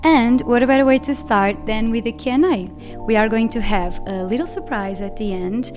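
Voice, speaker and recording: A woman's voice: fairly high pitch, normal speed, over music. A young woman with a Portuguese accent, a Portuguese native speaker, hosting a casual Q&A and chatting with many fillers. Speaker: podcast host and ceramic artist. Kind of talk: casual Q&A chat.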